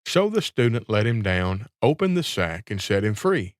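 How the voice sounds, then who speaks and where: A man's voice: lowish voice, very animated, normal speed, no echo, studio quality. A man reading a scripted fairy tale as a storyteller.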